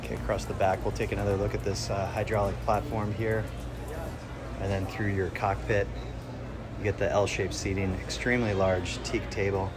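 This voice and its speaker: Low flat-voiced man touring a yacht. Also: background noise. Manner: casual, matter-of-fact, with frequent "uh" pauses. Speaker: yacht broker giving a tour. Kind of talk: walkthrough tour narration. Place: aboard a catamaran at a boat show, inside cabins and on deck.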